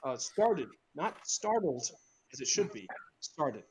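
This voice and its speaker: A man's voice: on the high side, very animated, slow, crowd noise, poor audio. A man narrating screenplay directions in a casual group read, dropping in amused asides.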